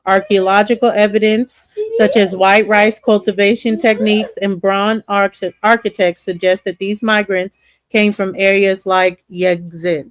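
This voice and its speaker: A woman reads a written overview aloud for a podcast, stumbling now and then over words. Her delivery stays flat and even, and the sound is dry and close. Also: medium pitch, a little slow, dry recording. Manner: flat, careful reading with stumbles.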